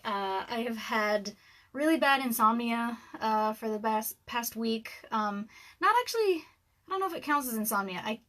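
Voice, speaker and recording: A woman in her thirties with a scratchy voice, rambling casually on a livestream from her dark room at home, with lots of ums and little laughs. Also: medium pitch. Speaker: author and livestream host. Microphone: webcam livestream.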